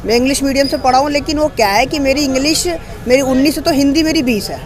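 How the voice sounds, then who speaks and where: A very high-pitched man from Delhi talks fast and emphatically in a street interview, slipping English phrases into his answers. A loud crowd murmurs around him on a busy city street. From Delhi, India. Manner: emphatic, talkative, mixes English phrases into Hindi. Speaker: civil services aspirant. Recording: busy crowd noise outdoors.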